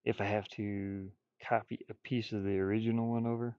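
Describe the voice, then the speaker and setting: A man's voice: on the low side, flat delivery, a little fast, darkish tone, clean tone, dry recording, dull audio. A man streaming casually as he draws, muttering and thinking aloud in folksy, informal speech. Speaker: streamer and hobby artist. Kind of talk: casual livestream chat while drawing.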